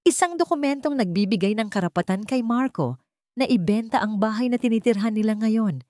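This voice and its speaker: A woman's voice: on the high side, expressive, fairly quick, mellow, dry recording, studio quality. A woman giving dramatic scripted narration of an audiobook story.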